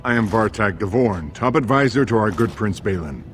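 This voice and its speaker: Guy doing deep dwarf court advisor, fantasy game, formal n slick, very expressive, bit of music behind. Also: lightly acted. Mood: courtly, measured. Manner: measured, formal, diplomatic. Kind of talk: video game voice acting.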